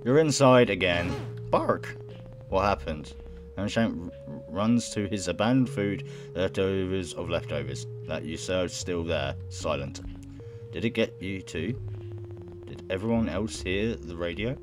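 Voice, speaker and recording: A young man with a very deep voice reads game text aloud at a slow pace. He stumbles a little as he goes and drops in casual remarks, with music playing underneath. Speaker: gamer playing and reading a visual novel aloud. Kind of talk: let's play, reading game text aloud with casual reactions. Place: indoor room at home.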